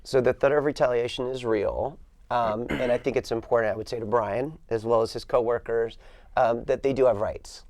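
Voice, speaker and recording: A man's voice: mid-range voice, fairly even intonation, moderate pace, darkish tone, dry recording. A man who works as a union organizer, answering interview questions confidently and in rallying phrases.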